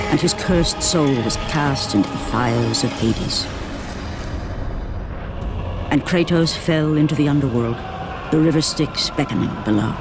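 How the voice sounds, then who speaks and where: A woman giving dramatic scripted narration of a mythic tragedy in a video game, grave, measured and portentous. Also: low-pitched. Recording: loud music behind. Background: music.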